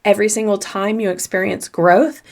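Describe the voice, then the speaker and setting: A woman with a mid-pitched voice and a faint Southern US accent talks straight to her listeners on a motivational podcast. She coaches in an earnest, encouraging way and drives her points home by repeating key phrases. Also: fairly even intonation, moderate pace. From Southern United States. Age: young adult or older. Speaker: podcast host and confidence coach. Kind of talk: motivational solo podcast talk.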